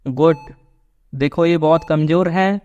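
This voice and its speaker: Serious, higher-voiced doctor acted by a man. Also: slow, darkish tone, highs cut off. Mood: serious, instructive. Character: serious, matter-of-fact male city doctor. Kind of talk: Hindi cartoon story voice acting.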